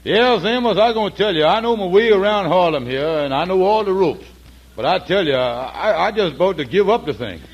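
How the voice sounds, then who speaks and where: A man's voice: sits high, lively intonation, brisk. A man playing an earnest, polite, sensible everyman in 1940s American radio comedy, talking in a folksy, hesitant, ungrammatical way. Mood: weary. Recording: dull old radio recording.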